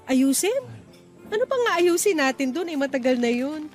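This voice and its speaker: Independent young sweetheart, candid, assertive, higher-pitched, radio drama, music underneath. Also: female voice, big pitch swings, moderate pace. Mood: guarded, open. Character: independent, outspoken young woman rekindling an old romance. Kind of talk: Filipino radio drama acting.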